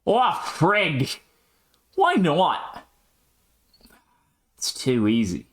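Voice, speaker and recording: An excitable young adventurer, acted out by a man, speaks in a raised voice that swoops widely. He is exasperated and exclamatory, tossing out quaint slangy curses in an amateur audio drama. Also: on the high side, very slow, a little hiss or hum, full character voice. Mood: exasperated, pleading. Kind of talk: amateur scripted character dialogue.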